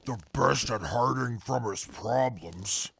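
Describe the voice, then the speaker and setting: A man voicing an irritable, menacing wasteland fighter in an audio drama, raspy and scornful, with formal wording. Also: medium pitch, slow, a bit bright, heavily acted. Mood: disdainful. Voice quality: raspy, gravelly. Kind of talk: post-apocalyptic audio drama acting.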